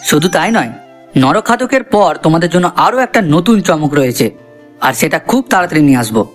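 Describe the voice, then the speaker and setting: A man's voice: fairly high pitch, big pitch swings, quick pace. A man voicing an earnest, chatty cartoon character, explaining things to viewers. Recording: voice over background music.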